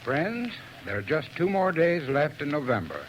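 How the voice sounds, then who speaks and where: A man's voice: on the high side, big pitch swings, normal speed, a little hiss or hum. A man giving an earnest, folksy 1940s radio advertisement. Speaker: advertising spokesman. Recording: dull old radio broadcast.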